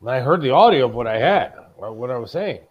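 A man's voice: mid-range voice, animated, fast, breathy edge. A man co-hosting a talk show in casual, bantering conversation, in slightly dull audio. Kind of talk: casual chat.

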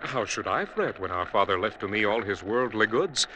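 An actor playing a stubborn, grasping younger brother in an Indian village, speaking formally and earnestly in 1950s radio drama style. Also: male voice, lowish voice, lively intonation, normal speed, close to an everyday voice. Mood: smug. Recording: old radio recording, faint background noise.

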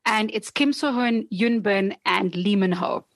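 A woman's voice: medium pitch, a little slow, dry recording, clean studio sound. Playful South African woman guest. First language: Afrikaans. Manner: lively and playful, laughs often. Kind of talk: casual interview chat.

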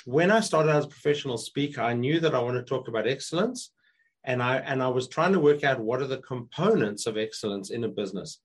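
A hoarse man with a croaky voice, a guest speaker on a video call, telling stories in casual conversation and often restarting his sentences. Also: mid-range voice, expressive, a little fast. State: hoarse, recovering from illness. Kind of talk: casual interview chat.

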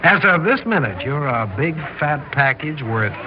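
Slangy bossy gang leader, old radio crime play, lots of hiss, muffled. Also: male voice, fairly high pitch, sing-song, moderate pace. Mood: commanding. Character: wary, commanding small-time gang boss running a kidnapping. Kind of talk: vintage radio drama acting.

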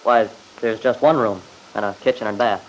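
A man's voice: on the low side, fairly even intonation, normal speed, lightly acted. A man playing an earnest, defensive young father testifying in an old radio drama. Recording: noisy old radio recording.

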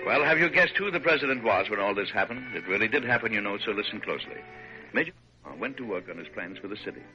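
An actor in a vintage radio drama playing a dignified, visionary American president, grand and declamatory, quick to exasperation. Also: male voice, lowish voice, expressive, music in the background, close to an everyday voice. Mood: narrating. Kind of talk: 1940s radio drama acting. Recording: noisy, muffled old radio broadcast.

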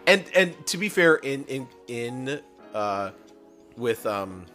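A man's voice: medium pitch, big pitch swings, slow, pushed and bright, soft background music. A man co-hosting a podcast in a casual fan discussion, effusive and rambling, swearing freely. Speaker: podcast co-host.

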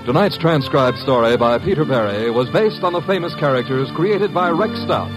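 A man with a husky voice announcing in 1950s network radio style. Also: mid-range voice, lively intonation, normal speed, poor audio. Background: faint music.

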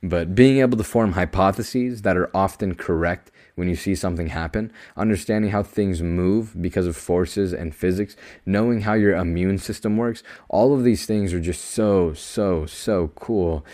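A young man with a deep, projected voice giving a casual podcast monologue in an emphatic, matter-of-fact, know-it-all manner. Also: moderate pace, hardly any pauses, mellow. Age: young adult. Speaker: podcast host.

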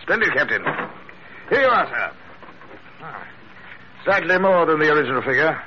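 A man's voice: fairly high pitch, sing-song, moderate pace. A man in an old radio drama playing a courteous, shady gun dealer with ornate, faintly menacing politeness. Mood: oily delight. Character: smooth-talking, shady male gun dealer, courteous and florid. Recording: muffled vintage radio recording, faint noise.

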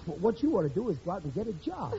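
An actor playing a soft-hearted, flustered working-class American married man in an old radio comedy, coaxing and pleading with stammers. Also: high-pitched, big pitch swings, racing, creaky, heavily acted. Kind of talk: 1940s-50s radio comedy acting. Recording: dull, noisy old radio recording with audience.

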